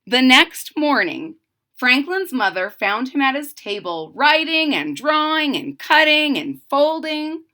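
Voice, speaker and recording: A woman reads a picture book aloud for young children in a high, bright voice. She takes her time and is expressive, dropping in casual, simple explanations as she goes. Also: slow. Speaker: storybook reader.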